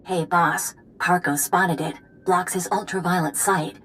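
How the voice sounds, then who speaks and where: Low-voiced, tough female mercenary. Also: steady tone, measured pace, fairly bright tone, a little hiss or hum, poor audio. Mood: brisk. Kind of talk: video game voice acting.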